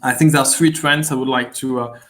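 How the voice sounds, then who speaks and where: A man from France with a French accent, a guest executive speaking in an online interview, measured and hesitant, with many fillers. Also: mid-range voice, fairly even intonation, a bit bright. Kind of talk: webinar interview.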